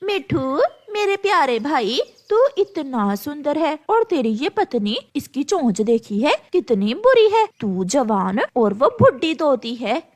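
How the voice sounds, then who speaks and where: A woman voices a scheming cartoon crow wife with a haughty, scolding manner. The voice is very high and highly animated, full of bossy greed. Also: unhurried, few pauses. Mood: sly coaxing.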